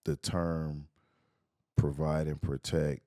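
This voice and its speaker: A young man co-hosting a podcast in casual chat, relaxed and repeating phrases for emphasis. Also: extremely low pitch, takes it slowly, no echo, studio quality. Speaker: podcast co-host.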